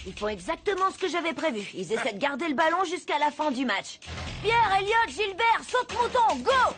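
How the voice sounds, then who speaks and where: A woman's voice: very high-pitched, sing-song, normal speed. A woman voicing a resourceful young boy in a cartoon, rallying his teammates with bossy, rousing pep talk. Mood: scheming, confident. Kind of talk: French animated series voice acting. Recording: music under the voice. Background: loud background music.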